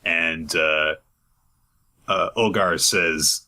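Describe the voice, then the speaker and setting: A man co-hosting a podcast, casually retelling a comic's plot, chatty and quick to laugh. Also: low-pitched, steady tone, pushed and bright. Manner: chatty, laughs often, acts out quoted lines. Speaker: podcast co-host recapping manga.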